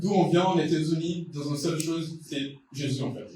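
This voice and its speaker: A man's voice: a little high, a little fast, crowd noise. A young man from Brazil with a Brazilian Portuguese accent, preaching an informal, conversational sermon with hesitant restarts and many fillers. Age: young adult. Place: church hall. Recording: echoey church hall, distant mic, congregation. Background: congregation responding.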